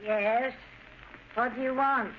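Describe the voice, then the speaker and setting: A woman's voice: on the high side, steady tone, slow pace. An actress playing a despairing, worried mother of criminal sons in old-time radio style, weary and mournful. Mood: hopeless. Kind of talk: 1930s radio acting. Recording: scratchy, muffled old radio recording.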